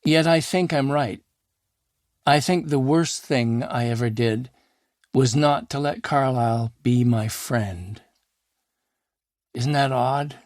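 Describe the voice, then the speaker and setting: A man's voice: medium pitch, a little mellow, no echo, studio quality, voice pushed up, close to an everyday voice. A man voicing an older man reading out his own rueful, confessional letter in a reflective, wry, plainspoken way.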